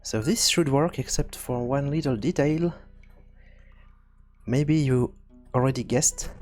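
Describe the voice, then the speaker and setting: A man hosting a programming tutorial, thinking aloud in a halting, hesitant way as he works through each step. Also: mid-range voice, darkish tone. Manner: hesitant, halting, working things out as he speaks. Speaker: video game programming tutorial host.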